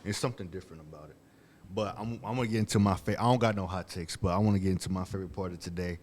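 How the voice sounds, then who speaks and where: A man's voice: low-pitched, racing, a little mellow. A man hosting a casual podcast chat, laid-back and leading the talk, with plenty of fillers. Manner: laid-back, leads the conversation with lots of fillers. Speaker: podcast host.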